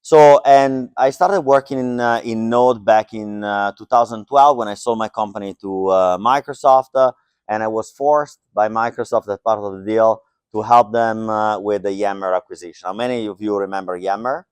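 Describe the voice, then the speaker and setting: A man's voice: fairly low pitch, normal speed, clear, resonant tone, dry recording. Joking man. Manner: joking, loose and conversational, many fillers. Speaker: conference speaker. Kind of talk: casual tech talk to a live audience. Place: lecture hall.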